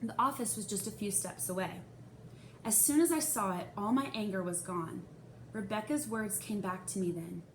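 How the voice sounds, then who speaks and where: A woman's voice: mid-range voice, moderate pace. A woman reading a children's novel aloud as a first-person storyteller, dramatizing the young narrator's feelings expressively. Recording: echoey room with faint hiss.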